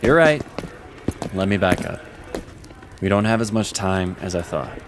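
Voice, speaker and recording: Man as stern fantasy officer, lowish voice, curt, controlled, protective, commanding, noisy audio drama scene. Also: expressive, measured pace. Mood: measured, urgent.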